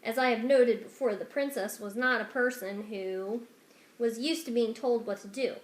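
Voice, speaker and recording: A woman narrates a children's book expressively from the page. Her voice sits on the high side. The recording has a strong room echo. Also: moderate pace. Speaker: children's story reader. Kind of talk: expressive scripted storybook narration. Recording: echoey room.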